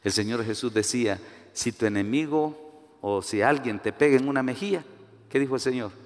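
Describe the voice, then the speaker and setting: A man's voice: mid-range voice, moderate pace, in a large hall. A man from Guatemala with a Guatemalan Spanish accent, preaching a sermon with personal stories and acting out the voices he quotes. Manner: animated, rises to emphatic peaks, acts out quoted voices.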